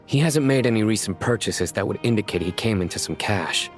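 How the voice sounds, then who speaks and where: A man playing a grave, low-voiced male law enforcer. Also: expressive, soft background music, lightly acted. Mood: grave, analytical. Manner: formal, deliberate, serious. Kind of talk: scripted video game voice acting.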